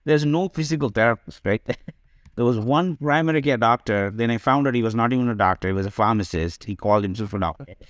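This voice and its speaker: A man's voice: mid-range voice, fairly quick, no echo, studio quality. A man giving conversational interview answers as a podcast guest, explaining things and often checking in with a "right?". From Sri Lanka. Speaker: podcast guest, digital health company co-founder.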